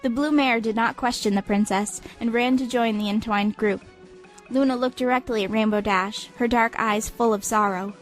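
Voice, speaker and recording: A woman's voice: fairly high pitch, steady tone, moderate pace, faint music behind, rough recording. A woman reading scripted, dramatic audiobook narration.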